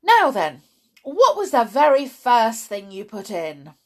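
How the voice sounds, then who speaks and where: A woman voicing an excitable, overbearing father in a children's story, exclaiming with bossy, impatient enthusiasm. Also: fairly high pitch, slow pace, pitched above the performer's own voice, exaggerated voice. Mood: eager, bossy. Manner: exclamatory, bossy, breathlessly eager.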